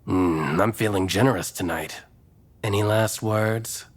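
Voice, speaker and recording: A man's voice: fairly low pitch, unhurried. A man playing a smug, cruel, mercenary vampire gang boss in an audio drama, taunting and businesslike.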